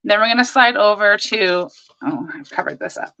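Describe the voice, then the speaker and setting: A hoarse woman hosting a live crafting stream, chatting and greeting viewers by name as she works. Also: mid-range voice, expressive, normal speed, bright, forceful tone, muffled recording. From Canada.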